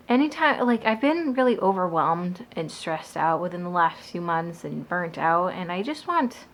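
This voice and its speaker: A woman's voice: on the low side, a bit bright. A young woman from the Boston area, a book vlogger chatting casually to camera, rambling with lots of asides.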